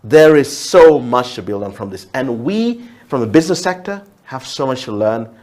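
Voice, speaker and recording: A man's voice: mid-range voice, animated, moderate pace, a little mellow. A man from Uganda whose first language is Luo, speaking reflectively as a TV talk show guest, often restarting and repeating words.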